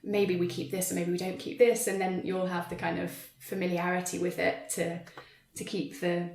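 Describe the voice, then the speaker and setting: A woman's voice: on the low side, monotone, moderate pace, vocal fry, darkish tone. A woman in a thoughtful, unscripted discussion, talking in a tentative, reflective way and hedging as she goes. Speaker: theatre practitioner in a panel conversation. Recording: roomy, echoey sound.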